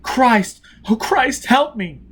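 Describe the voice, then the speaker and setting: A man's voice: extremely high pitch, expressive, slow pace, breathy edge, pitched above the performer's own voice, heavily acted. A man voicing a tortured, terrified spaceship crewman in an audiobook, gasping out short broken exclamations of anguish. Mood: agonized begging.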